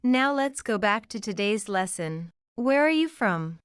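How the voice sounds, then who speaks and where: A woman teaching a beginner English lesson in slow, clear, scripted narration. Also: medium pitch, expressive, heavy background noise.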